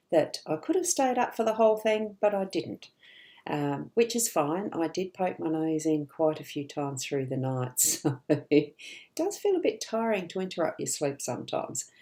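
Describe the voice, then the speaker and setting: Hoarse woman card reader, low and gravelly, dark mellow tone, chatty and meandering, reassuring delivery. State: rough-voiced, a bit hoarse. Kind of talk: live daily card reading.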